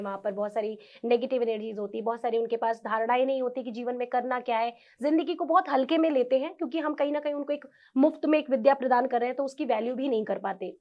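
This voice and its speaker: A woman speaking as an interview guest, explaining earnestly and instructively, mixing in English words. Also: high voice. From India. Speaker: interview guest, tarot reader and Reiki practitioner; government school teacher. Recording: dull lo-fi sound.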